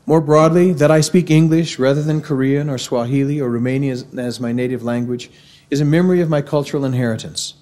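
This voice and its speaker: A middle-aged man with a Missouri accent giving a formal devotional address at a university, in a measured, earnest lecturing manner, at a podium microphone in a large auditorium. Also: medium pitch, steady tone, dark tone. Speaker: university speaker.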